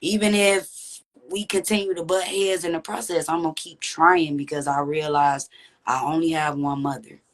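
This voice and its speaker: Woman w a deep raspy low voice, earnest podcast guest talking it through, lots of "you know what i'm saying". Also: fairly even intonation, moderate pace. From Texas. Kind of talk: candid conversational interview.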